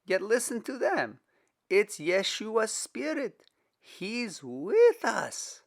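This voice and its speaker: A man's voice: high-pitched, extremely slow, gravelly, voice pushed up, heavily acted. A man doing an eager, awestruck young believer. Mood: excited. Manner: excited, emphatic.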